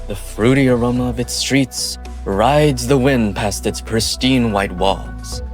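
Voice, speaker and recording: Man playing a scheming, smooth-talking businessman, music behind. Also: medium pitch, slow pace, lightly acted. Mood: coaxing. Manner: theatrical, coaxing, insinuating. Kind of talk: amateur fan dub of game dialogue. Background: background music.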